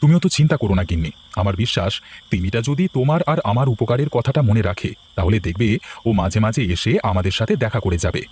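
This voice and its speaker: A man playing village farmers in a cartoon, speaking in an expressive, exclamatory way. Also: on the low side, quick pace, slight background noise, close to an everyday voice. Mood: concerned. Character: village men: practical farmer husband, frightened neighbours. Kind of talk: cartoon voice acting.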